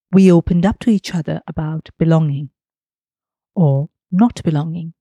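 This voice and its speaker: A woman hosting a podcast, reading a scripted intro and then interviewing her guest in an earnest, measured way. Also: fairly low pitch, warm tone, dry recording, clean studio sound. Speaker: podcast host.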